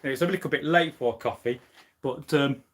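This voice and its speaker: A man's voice: medium pitch, normal speed, slightly rough. A young man from the UK chatting casually on a live stream from home, rambling with many fillers and restarts. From United Kingdom. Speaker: history channel host. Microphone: camera on a tripod.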